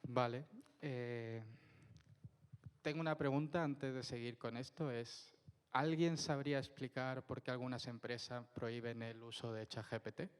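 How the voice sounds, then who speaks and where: A man's voice: medium pitch, steady tone, measured pace, clean tone. A man giving a technical conference talk, questioning the audience and checking in with "¿vale?" as he explains. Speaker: technical speaker. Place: conference hall. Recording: reverberant hall.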